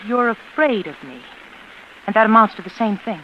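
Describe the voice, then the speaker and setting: An actress playing an ailing, desperately insistent former concert pianist in a 1940s radio drama, pleading intensely and haltingly. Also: female voice, medium pitch, expressive, natural voice. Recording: muffled, noisy old radio broadcast.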